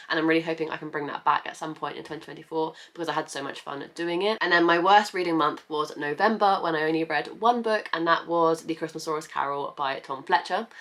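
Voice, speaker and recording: A woman in her twenties with a cold, sounding congested, chatting casually on her book vlog and listing titles in a steady run. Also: low voice. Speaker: book vlogger. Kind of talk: casual reading-recap vlog. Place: at home.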